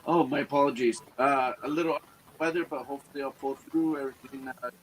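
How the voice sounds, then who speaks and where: A man from Ontario, Canada, calls in as a guest. He is stuffed up and losing his voice but stays friendly and laid-back. He talks at a medium pitch, with faint background noise behind him. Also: fairly even intonation, fast talker, low-quality recording. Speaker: guest caller.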